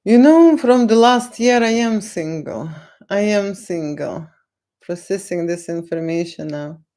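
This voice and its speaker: A woman with a Lithuanian accent, not a native English speaker, answering viewers' questions on a livestream in a slow, lecturing way and tagging "okay?" onto her points. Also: mid-range voice, expressive, dark tone. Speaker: photographer on a livestream. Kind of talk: live Q&A chat with viewers.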